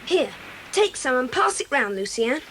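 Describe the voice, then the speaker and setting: An actress playing a sensible, reassuring child who takes charge, in a children's audio drama. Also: female voice, high-pitched, very animated, normal speed, fairly bright tone, slight background noise. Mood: steady, thoughtful.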